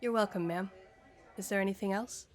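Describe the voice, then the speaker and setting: A woman's voice: mid-range voice, fairly quick, natural voice. A woman acting a courteous, helpful police desk sergeant in an audio drama. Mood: polite.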